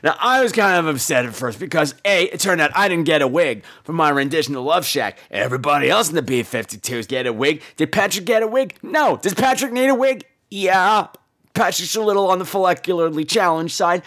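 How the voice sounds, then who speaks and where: A man's voice: a little high, expressive, brisk, a bit bright. A man hosting a podcast, telling comic personal stories in a campy, exaggerated theatrical style with salty, sassy asides. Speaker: podcast host.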